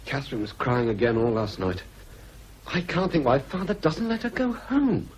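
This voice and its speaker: An actor playing a sickly, peevish young husband in a radio drama, with a whiny, fretful way of talking. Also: male voice, mid-range voice, big pitch swings, moderate pace, slightly rough, poor audio. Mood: whining.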